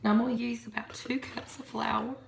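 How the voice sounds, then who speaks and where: A hoarse woman, chatting casually as she shows her home cooking in a kitchen. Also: on the high side, level tone, fairly quick. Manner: chatty, rambling, folksy. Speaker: home cook. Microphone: phone or camera on counter. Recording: noisy home recording.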